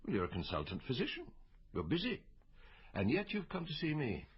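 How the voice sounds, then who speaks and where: An actor playing a wry, urbane medical officer of health in a radio drama, with a husky voice and a measured, donnish, gently teasing manner. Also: male voice, mid-range voice, huge pitch swings, exaggerated voice. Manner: droll, measured, donnish, given to quoting poetry. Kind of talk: BBC radio drama acting. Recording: dull, muffled radio recording.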